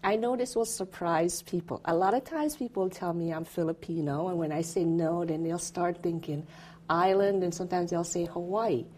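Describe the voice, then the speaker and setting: Middle-aged woman from Jamaica, halting speech. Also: lowish voice. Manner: warm, halting, with frequent fillers and restarts. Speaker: interview guest, retired federal employee. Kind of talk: casual interview conversation.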